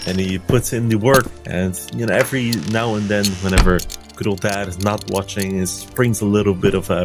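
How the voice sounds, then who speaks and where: A man playing a tabletop game, describing what his character does. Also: lowish voice. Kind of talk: narrating own character's actions in roleplay. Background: music.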